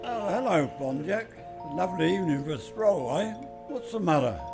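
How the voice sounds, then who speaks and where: A man's voice: sits high, expressive, moderate pace, gravelly, over music. A man playing a wisecracking radio-play agent. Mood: wry. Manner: wisecracking, wry. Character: wisecracking male secret agent, cool under threat. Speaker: secret agent hero. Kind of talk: amateur radio drama acting.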